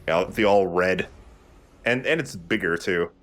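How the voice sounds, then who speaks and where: A man's voice: low voice, a little slow, fairly bright tone. A man giving casual commentary over video game footage as a let's play commentator.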